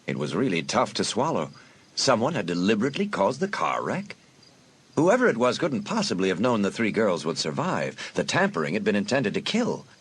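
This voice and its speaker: A man narrating an audiobook drama in a measured, suspenseful way. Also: on the low side, wildly swooping pitch, breathy edge. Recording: narration over a music bed.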